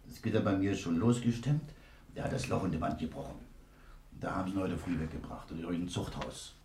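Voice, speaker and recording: An actor playing a gruff, impatient burglar plotting a jailbreak, blunt and conspiratorial, with a gravelly voice, in a 1960s radio crime drama, heard echoey and at a distance. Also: male voice, deep voice, animated, quick pace, a little mellow, slight background noise. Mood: urgent, scheming.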